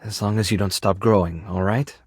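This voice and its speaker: A male actor in a dating video game playing an earnest, tender, awkward keeper of an animal rescue, sincere and prone to halting rambles. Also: a little high, big pitch swings, no room sound, studio quality. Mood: firm, forgiving. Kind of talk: video game character voice acting, dating simulator.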